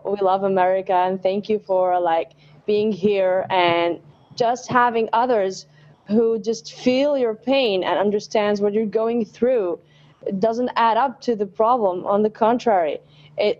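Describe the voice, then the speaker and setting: A woman's voice: mid-range voice, steady tone, unhurried, a little mellow, lo-fi sound. A young woman, a student guest, speaking plainly with some hesitation. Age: young adult. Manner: plain-spoken, hesitant with fillers. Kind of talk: casual interview answers.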